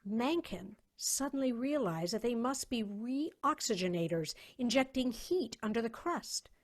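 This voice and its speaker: A woman's voice: fairly high pitch, expressive, a little slow. A woman narrating a science fiction story as a scripted dramatic reading.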